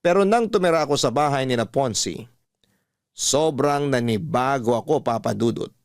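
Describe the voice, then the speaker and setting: A man narrating a listener's letter on a radio drama program, with a steady storytelling delivery. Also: mid-range voice, normal speed, no room sound, studio quality.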